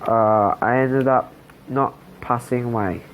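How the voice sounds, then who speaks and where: A man's voice: mid-range voice, takes it slowly, narrow, tinny audio, slight background noise. A young man in his late teens from Singapore, telling his life story in a hesitant voice-over full of fillers and restarts. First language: Chinese. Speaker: channel host.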